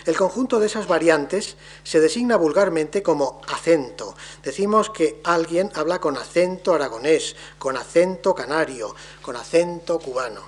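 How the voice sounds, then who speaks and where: A man delivering a formal academic lecture on linguistics in a measured, deliberate way, his phrases trailing off softly. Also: a little high, animated.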